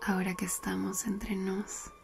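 A woman's voice: mid-range voice, level tone, a little slow, vocal fry, a little hiss or hum. A woman playing a giggly whispering flirt. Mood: flirty whisper. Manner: soft close whispering, giggly. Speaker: ASMR roleplay performer. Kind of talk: whispered ASMR roleplay.

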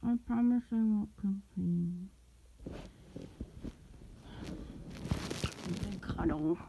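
A woman playing a sleepy, pouty young streamer roommate in an intimate ASMR roleplay, mumbling drowsily with drawn-out words and affection under the grumbling. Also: fairly low pitch, gravelly. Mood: cozy, content. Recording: close recording with background rustling noise. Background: fabric rustling.

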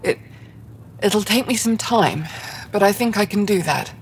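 A woman's voice: mid-range voice, animated, measured pace, a little hiss or hum. An actress playing a guarded, wounded female alien survivor in a video game, speaking with reserve and hesitation. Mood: softening, hesitant.

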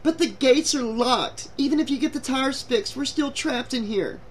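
Man putting on a high, distressed young woman. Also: expressive, brisk, fairly bright tone, slight background noise. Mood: tearful. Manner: shrieking, whining. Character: distressed, shrill young woman, scared and exasperated.

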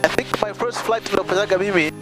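A man's voice: high-pitched, fast, over music. A young man from Tanzania, a pilot, chatting casually in a small cockpit during flight, switching between languages and laughing as he tells stories. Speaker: pilot and vlogger. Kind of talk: casual storytelling mixing Swahili and English. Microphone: headset boom mic.

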